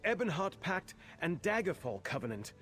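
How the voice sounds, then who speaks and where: A man's voice: high-pitched, big pitch swings, normal speed, fairly bright tone, husky, soft background music, heavily acted. An actor playing an anxious, fretful male mage's assistant in a video game, speaking earnestly. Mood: worried explaining. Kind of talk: scripted game character dialogue.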